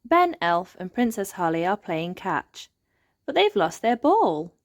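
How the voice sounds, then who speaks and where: A woman reads a picture book aloud for young children with a very lively, swooping delivery. She takes it slowly, and the recording is dry and close. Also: medium pitch, no echo. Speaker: storybook narrator.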